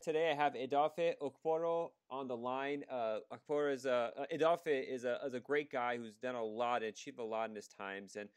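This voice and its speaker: A man's voice: medium pitch, steady tone, normal speed, hardly any pauses, clear, resonant tone. A man hosting an interview podcast as an immigration attorney, talking casually with many fillers and restarts. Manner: conversational, with frequent fillers and restarts.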